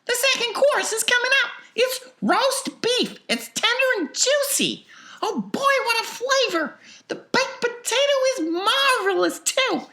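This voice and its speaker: A man acts out a young girl from a storybook, brash and greedy. The voice sits extremely high and swings widely, with a bright, forceful edge. It gushes and boasts stubbornly. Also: animated, moderate pace, gravelly, few pauses, reverberant, full character voice. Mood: gloating delight. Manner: boastful, gushing.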